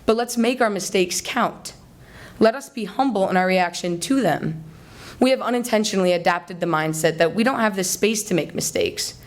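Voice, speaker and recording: Young American woman, student orator. Earnest, deliberate delivery at a middle pitch, polished persuasive public speaking. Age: young adult. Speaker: student speech contest finalist. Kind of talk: rehearsed persuasive public speech. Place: college hall, before a live audience.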